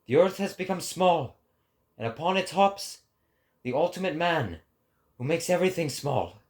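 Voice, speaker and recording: A man voicing a lofty, sorrowful prophet who preaches a grave warning in a deliberate, declamatory dramatic reading. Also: a little high, big pitch swings. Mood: solemn warning. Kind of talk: dramatic philosophical oration.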